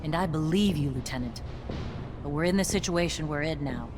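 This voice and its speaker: A woman playing a stern but loyal military captain in a video game, speaking with measured authority. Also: low voice, music in the background, close to an everyday voice. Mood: grave, supportive. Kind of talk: dramatic video game dialogue.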